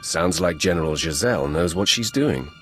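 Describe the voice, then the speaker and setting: Game lead: menacing dark warrior, very low voice with dramatic swings, curt, imperious, cold. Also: male voice, animated, normal speed, soft background music. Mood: businesslike. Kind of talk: video game character acting.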